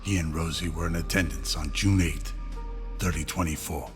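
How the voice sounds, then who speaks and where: Deep guy doing solemn story narration, audio drama, music behind. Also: normal speed.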